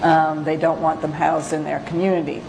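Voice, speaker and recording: A woman photographer giving an informal lecture about her work, speaking haltingly with frequent pauses. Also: sits low, flat delivery, creaky, a little mellow, slight background noise, rough recording. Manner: halting, hesitant, pausing often. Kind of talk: informal slide lecture with read-out excerpts.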